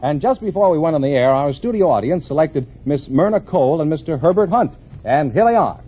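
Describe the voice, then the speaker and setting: A man's voice: on the high side, expressive, fairly quick, breathy edge. A polished American man announcing with showmanship in a 1950s radio style. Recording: dull vintage radio recording.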